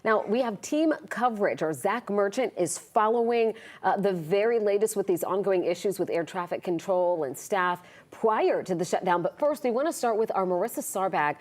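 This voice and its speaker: A woman's voice: mid-range voice, lively intonation, moderate pace, few pauses, a little mellow. A woman presenting television news as an anchor.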